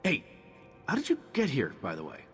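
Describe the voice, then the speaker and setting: An actor playing a cocky, flippant knight champion in a fantasy video game, with a wry, glib, theatrical delivery. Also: male voice, mid-range voice, huge pitch swings, moderate pace, a bit bright. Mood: explaining, exasperated. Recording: long reverb over background music.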